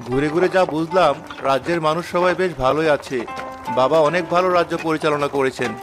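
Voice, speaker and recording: Casting: man as youthful fairy-tale prince, restless and a bit sulky, clear music behind. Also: on the high side, fast talker, natural voice. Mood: eager. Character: restless young prince, sulky, craving freedom. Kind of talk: animated fairy-tale dialogue.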